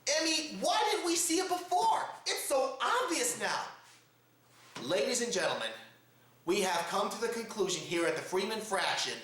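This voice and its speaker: A man's voice: high-pitched, a bit bright. A man hosting a satirical news report, addressing his audience formally in a theatrical, mock-dramatic manner. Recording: very echoey, reverberant room.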